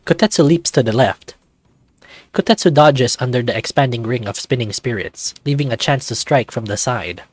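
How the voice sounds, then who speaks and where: A man narrates a visual novel in the second person with an even storytelling delivery. His voice is mid-pitched and the recording is dry and close. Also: moderate pace, dry recording.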